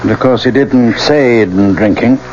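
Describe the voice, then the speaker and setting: An actor playing a grave, principled middle-aged father, questioning in measured, solemn tones in a radio drama. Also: medium pitch, darkish tone, natural voice. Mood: probing. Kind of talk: 1970s radio drama acting. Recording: muffled old radio recording with hum.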